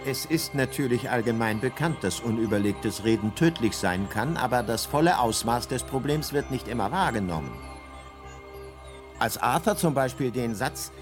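A man's voice: medium pitch, expressive, normal speed, over music, close to an everyday voice. A man playing the narrator of a radio drama, a guidebook telling its tale in a dryly grandiose, scripted storytelling manner. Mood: solemn, dry.

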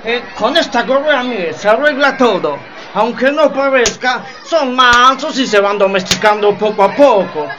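A man acts out a slave trader in a community radio play. His voice is very high for a man, callous and brisk like a market seller. The sound is dull, with a noisy crowd around him. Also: lively intonation. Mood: callously instructive. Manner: brisk, matter-of-fact salesman's patter.

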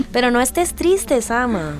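A woman's voice: fairly high pitch, very animated, slow. Heartbroken teenage Costa Rican girl. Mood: downcast, wry. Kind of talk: radio drama acting. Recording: music bed behind the voice.

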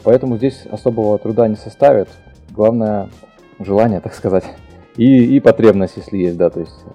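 A man's voice: on the low side, moderate pace, breathy edge, highs cut off, soft background music. A man answering as an interview guest, hesitant and padding his explanation with filler phrases. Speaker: guest, telecom equipment specialist.

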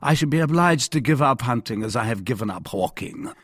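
A man's voice: mid-range voice, animated, fairly quick, breathy edge, no echo, clean studio sound, voice pushed up. A man voicing a petulant, self-pitying, hot-tempered French king in an audiobook, with querulous, theatrical outbursts. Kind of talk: dramatised audiobook character voice.

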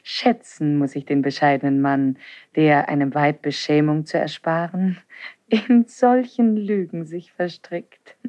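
An actress playing a scheming, coquettish young princess in a classical drama, with theatrical, deliberate delivery. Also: female voice, sits low, steady tone, dark tone, no room sound. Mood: coy, teasing. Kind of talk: classical German stage drama acting.

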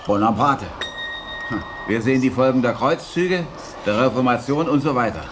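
A man's voice: medium pitch, moderate pace, lightly acted. Radio drama: male actor as courteous, formal companion, mid-range voice, noticeable background noise. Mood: earnest. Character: polite male dinner guest and deferential companion.

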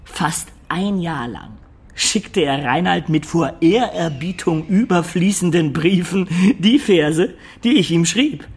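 A man's voice: a little high, lively intonation, slow pace, husky, exaggerated voice. An actor playing a cheerful, sly, self-satisfied medieval liar who tells his tale in a playful, theatrical way for a German radio play. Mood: amused explaining.

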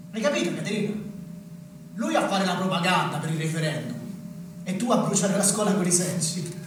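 Taunting rebel in an echoing theatre. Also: male voice, high voice. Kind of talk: theatrical stage acting. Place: stage of a theatre. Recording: echoey theatre, distant mic, noisy.

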